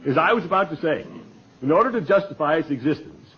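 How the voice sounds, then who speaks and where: An actor playing a pompous, self-important middle-aged club leader with a chuckle in 1940s radio comedy. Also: male voice, a little high, expressive, fast talker, heavy background noise, close to an everyday voice. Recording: muffled old 1940s radio recording.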